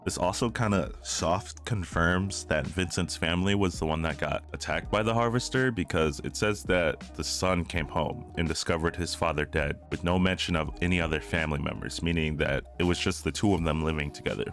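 A man with a very low voice delivers scripted narration for an analytical video essay. He speaks as the narrator, with faint music playing behind him. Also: brisk, hardly any pauses, fairly bright tone.

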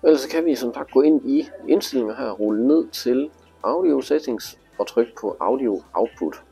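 A man's voice: medium pitch, lively intonation, gravelly, dark tone, slightly rough, faint music behind. A man giving a casual, hands-on tutorial, explaining things informally step by step. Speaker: instructor demonstrating motorcycle display.

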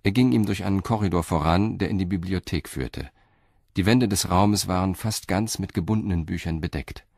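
A man narrates a crime radio play in a calm, even way. His very deep voice is recorded close and dry, with no room sound. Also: normal speed, gravelly, no echo.

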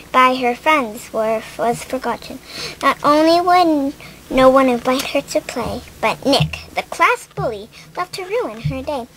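A female reader giving an expressive, sing-song reading of a rhyming children's picture book. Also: high voice, a little hiss or hum, rough recording. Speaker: storybook reader.

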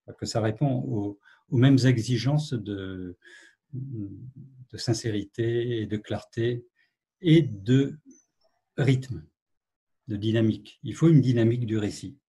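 Husky, soft-voiced man musing on writing. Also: mid-range voice, takes it slowly, long pauses. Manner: reflective, hesitant, many fillers and restarts. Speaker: novelist, guest. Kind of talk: online literary conversation. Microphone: video call.

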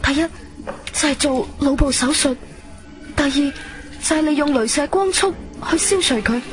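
An actress with a Cantonese accent playing a caring, steady wife in a radio drama, soothing and earnestly urging calm. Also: female voice, high voice, moderate pace, faint music behind.

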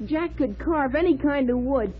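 Through dull vintage radio sound comes a young American boy. The voice is high, and the character is loyal, earnest and plainspoken. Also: lively intonation, normal speed. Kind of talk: 1940s radio acting. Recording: dull old radio recording.

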